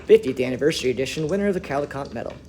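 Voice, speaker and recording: A man reading a children's story aloud expressively, with deliberate, dramatic phrasing that swells and hushes. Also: fairly high pitch, animated, a little hiss or hum. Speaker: storybook reader for schoolchildren.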